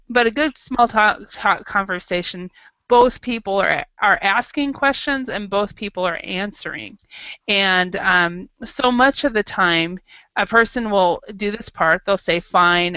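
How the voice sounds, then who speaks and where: A woman's voice: medium pitch, pushed and bright, clean tone, no room sound, highs cut off. A woman teaching a small talk lesson to an adult class, thinking aloud with frequent restarts. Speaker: English teacher.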